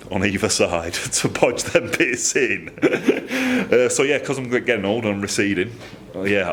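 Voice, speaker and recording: A middle-aged man with a fairly low voice talks casually and enthusiastically, rambling a little and poking fun at himself. He records in his own workshop, with a faint hum of noise behind him. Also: a bit bright. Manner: chatty, rambling, self-deprecating, enthusiastic. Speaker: motorcycle exhaust maker. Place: indoor workshop.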